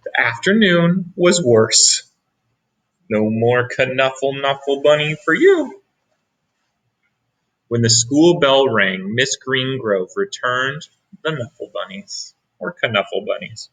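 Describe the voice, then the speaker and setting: A man reading a picture book aloud as a teacher, pausing for asides to young listeners. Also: medium pitch, sing-song, a bit bright, poor audio. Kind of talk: read-aloud storytelling.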